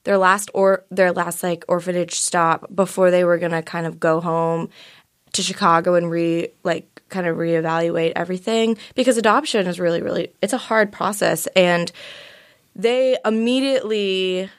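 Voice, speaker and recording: A woman's voice: lowish voice, fairly even intonation, moderate pace, smooth. A young woman born in Vietnam, talking as a guest actress in a casual interview, bubbly and full of fillers and laughs.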